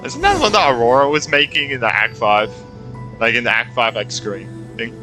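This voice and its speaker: A man's voice: mid-range voice, animated, moderate pace, pushed and bright, soft background music, poor audio. A young man giving casual, reactive gaming commentary and reading game dialogue aloud in an informal way. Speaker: gaming streamer.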